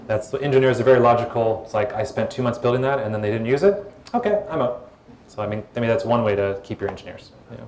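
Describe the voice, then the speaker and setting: A man answering audience questions at a startup event in a calm, relaxed, conversational way, on a mic in a hall. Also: medium pitch, fast. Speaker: guest speaker, former social media executive. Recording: echoey event hall with faint room noise.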